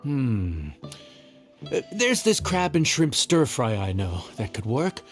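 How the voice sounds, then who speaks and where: An easygoing inn cook from a video game. His medium voice is lively in pitch and knowing in tone, with faint music behind it. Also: slow. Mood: wry.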